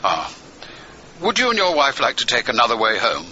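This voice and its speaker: An actor with a British accent playing a brisk, authoritative intelligence chief, terse and formal, heard as a telephone voice in a radio drama. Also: male voice, medium pitch, wildly swooping pitch, pushed and bright, dull audio, a little hiss or hum, full character voice. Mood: brisk, probing.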